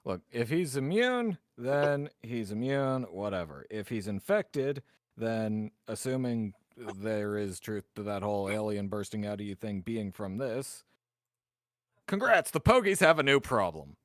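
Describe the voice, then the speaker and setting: A man's voice: fairly low pitch, lively intonation, measured pace, no echo, clean studio sound. A man playing a tabletop roleplaying game, chatting casually in a wry way.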